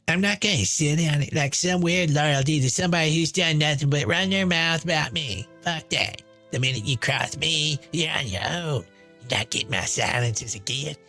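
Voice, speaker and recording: A man's voice: fairly high pitch, lively intonation, normal speed, sharp tone, soft background music. A man voicing a brash, smug, chain-smoking woman in a dramatized monologue, sneering, profane and boastful. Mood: vindictive.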